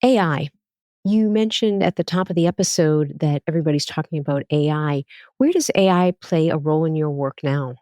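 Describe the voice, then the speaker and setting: A woman's voice: deep voice, fairly quick, mellow, no echo. A woman doctor hosting a podcast who asks direct, earnest questions and tells plain stories from her training. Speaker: physician podcast host. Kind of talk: podcast interview questions and storytelling.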